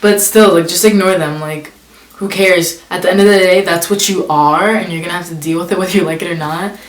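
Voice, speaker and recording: A woman's voice: on the low side, steady tone, a little fast. Chatty young woman in an echoey room. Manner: chatty, many "like" fillers, reads questions aloud. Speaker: vlogger. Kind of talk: casual tag video answering questions.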